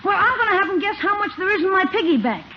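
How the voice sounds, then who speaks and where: A high-pitched, scheming young boy. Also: very animated, racing. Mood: eager, boastful. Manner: brash, slangy, eager. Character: eager, scheming young boy nephew, excitable and slangy. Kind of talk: 1950s radio sitcom acting. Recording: muffled, noisy old radio broadcast recording.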